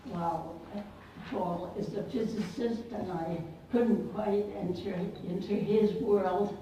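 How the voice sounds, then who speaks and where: A woman, addressing an audience with personal stories in short, deliberate phrases. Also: on the low side, mellow. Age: early 90s. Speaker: poet and guest speaker. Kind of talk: personal anecdotal speech to an audience. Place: indoor conference banquet room. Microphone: microphone, sounding distant. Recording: very echoey hall, distant, faint noise.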